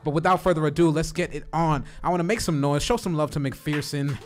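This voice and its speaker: A man working as an event emcee, informally and loudly hyping the room and introducing acts from the stage. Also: on the high side, a little fast, background noise.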